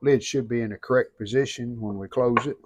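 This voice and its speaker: A man's voice: medium pitch, fairly even intonation, brisk, slightly rough. A man talking casually through hands-on restoration work, in short, plain-spoken remarks as he goes. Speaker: vlogger restoring an antique radio. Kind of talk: casual hands-on workshop narration.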